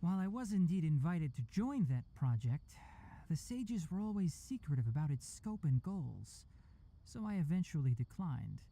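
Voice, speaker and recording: An actor voicing a calm young male forest ranger and scholar with fox ears in a game, speaking in a measured, composed way. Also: on the high side. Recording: game audio with faint music.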